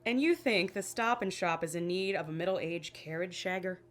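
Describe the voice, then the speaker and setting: An actress playing a practical, exasperated wife with a dry, pointed way of talking in an audio drama. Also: female voice, on the low side, normal speed, natural voice. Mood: sharp, dry. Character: practical, sharp-tongued, exasperated wife of a magician.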